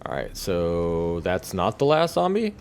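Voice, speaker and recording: A man's voice: deep voice, big pitch swings, measured pace, vocal fry, a little mellow, soft background music. A man giving casual, laid-back and sometimes sweary commentary over his own video game play. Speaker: gamer narrating his own gameplay video.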